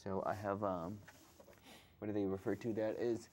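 A man's voice: on the low side, flat delivery, moderate pace, a little mellow, a little hiss or hum. A young man hosting a live studio chess show, chatting casually and mumbling to himself as he thinks over his moves. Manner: musing aloud, mumbling to himself while thinking. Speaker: show host playing chess. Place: dark TV studio.

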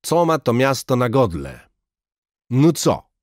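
A man voicing a brutal Soviet secret police major who shouts threats in Russian-accented, broken Polish. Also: medium pitch, very animated, takes it slowly, dry recording, clean studio sound, pitched above the performer's own voice. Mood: shouting contempt. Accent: Russian-inflected broken Polish. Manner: shouting, mocking, menacing. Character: brutal male Soviet NKVD major, sneering.

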